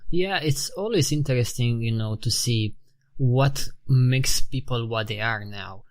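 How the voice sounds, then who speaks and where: A man's voice: medium pitch, a little slow. A man in his thirties from Romania with a Romanian accent, a guest in a casual interview who talks thoughtfully, often restarting phrases and saying "you know" and "like". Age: 30s.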